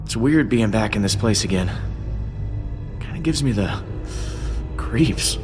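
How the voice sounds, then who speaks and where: An actor playing a cocky, slangy young American space adventurer in a video game, with a wry manner. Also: male voice, on the low side, expressive, a little slow, long reverb tail, over music. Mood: casual. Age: young adult.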